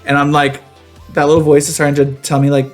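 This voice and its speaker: A young man co-hosting a casual podcast, telling stories in a campy, slangy, animated way. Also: on the high side, fast talker, faint music behind. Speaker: podcast co-host. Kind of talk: casual chat.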